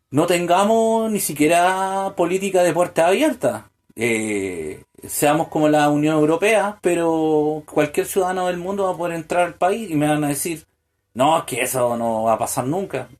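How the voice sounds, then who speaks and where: A man's voice: fairly high pitch, darkish tone. A man delivering a solo political podcast monologue, full of hesitant fillers and restarts, building to pointed rhetorical questions. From Chile. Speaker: podcast host.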